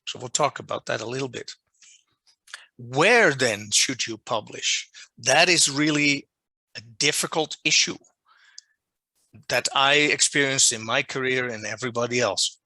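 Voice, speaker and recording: Dutch male lecturer, non-native English, slow and deliberate, keen bright tone, dry call mic. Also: medium pitch, creaky, dry recording, poor audio. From Netherlands. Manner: deliberate, restates his points. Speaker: journal editor presenting a workshop. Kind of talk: online lecture. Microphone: video call microphone.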